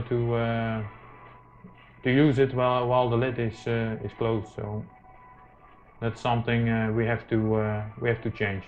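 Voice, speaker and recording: A man presenting an informal hands-on tech tutorial, speaking haltingly with very frequent hesitations. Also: mid-range voice. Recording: muffled lo-fi mic, music behind.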